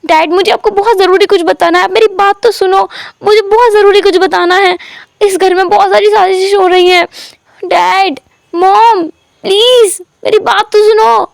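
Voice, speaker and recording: A woman voicing a distraught young man from a rich family, shouting and pleading in a high, pushed voice. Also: fairly even intonation, normal speed, creaky, no echo, clean studio sound.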